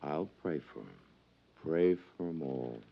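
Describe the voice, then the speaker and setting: An actor playing a vague, pious, stubborn newspaper seller from the docks in a 1950s radio drama, rambling and evasive, with a gravelly voice. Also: male voice, very deep voice, full character voice. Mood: vague, solemn. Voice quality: gravelly creaky voice. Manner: rambling, evasive, slow to answer. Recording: dull old radio recording.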